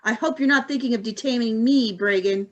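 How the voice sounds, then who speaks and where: A woman's voice: fairly high pitch, brisk, muffled recording, natural voice. A woman playing a defiant deputy governor in a radio play reading, assertive and indignant.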